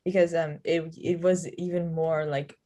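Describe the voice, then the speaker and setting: A woman's voice: low voice, little pitch movement, moderate pace, mellow. A woman in casual discussion on a video call, informal and searching for her words. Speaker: script collaborator giving feedback.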